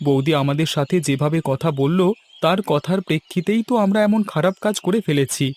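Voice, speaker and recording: A man's voice: a little high, brisk, darkish tone, voice pushed up, natural voice. A man voicing a touchy, mischievous young village friend in a cartoon story, speaking insistently. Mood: regretful.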